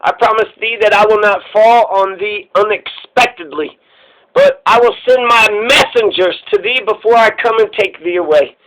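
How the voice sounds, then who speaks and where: A man's voice: sits high, normal speed, fairly bright tone, slight background noise, rough recording. A man voicing Death as a stern, solemn figure with grave archaic speech in a storybook reading. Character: Death personified, solemn, stern male reaper.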